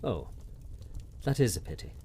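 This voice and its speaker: An actor playing a polite, eager young university visitor in a radio drama. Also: male voice, fairly low pitch, big pitch swings, moderate pace, mellow, slightly rough. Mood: curious. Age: young adult. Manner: courteous, earnest.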